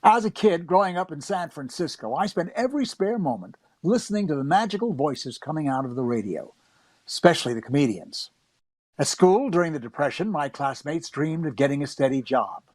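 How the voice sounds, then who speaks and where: A man's voice: a little high, expressive, fairly quick, a little mellow, no echo. A man playing an eager, wryly self-mocking young comedy writer narrating his own story in a radio play. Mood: wry, upbeat. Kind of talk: first-person radio drama narration.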